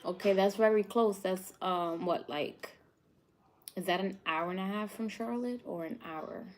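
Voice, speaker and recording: A woman's voice: mid-range voice, unhurried. A woman from New York hosting a live cooking stream, chatting casually with viewers and laughing often. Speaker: cooking show host.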